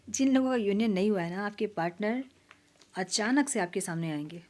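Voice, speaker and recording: A woman hosting a tarot reading, slightly hoarse, talking casually and dropping to near-whispers at times. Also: medium pitch, expressive, unhurried. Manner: soft, mixing Hindi and English, drops to near-whispers while shuffling. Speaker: tarot reader.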